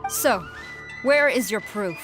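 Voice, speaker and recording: A proud young warrior woman from a video game, speaking in a fairly high voice. Her manner is stiff, formal and earnest, with music underneath. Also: expressive, takes it slowly, a bit bright. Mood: guarded, earnest.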